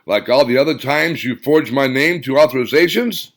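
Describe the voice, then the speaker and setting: A man voicing a gruff male army commander who shouts his orders. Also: medium pitch, expressive, moderate pace, voice pushed up, lightly acted. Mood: barking.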